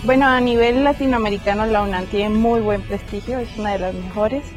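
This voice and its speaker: A woman's voice: mid-range voice, fairly even intonation, a little fast, over music. A woman from Colombia with a Colombian accent, a master's student explaining her research fluently. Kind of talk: interview testimonial.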